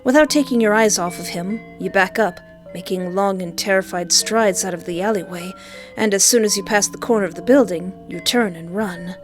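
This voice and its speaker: A woman reading a visual novel aloud, with playful, animated joking asides between the narration. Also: medium pitch, normal speed, soft background music. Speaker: let's play streamer.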